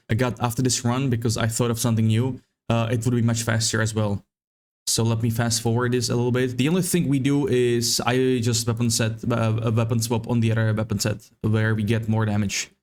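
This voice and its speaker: A man with a slightly hoarse voice giving a loose, rambling walkthrough of a game build, repeating words as he thinks. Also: mid-range voice, a little mellow. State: slightly hoarse, losing his voice. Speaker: gaming content creator. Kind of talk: casual game build guide.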